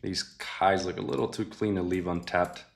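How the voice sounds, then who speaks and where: Young man, deep low voice, casual livestream chat, thinking aloud, quiet muttering. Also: fairly even intonation, moderate pace, vocal fry. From Mexican family. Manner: laid-back, thinks aloud, often mutters quietly to himself. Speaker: livestream host trading futures. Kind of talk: casual live trading commentary.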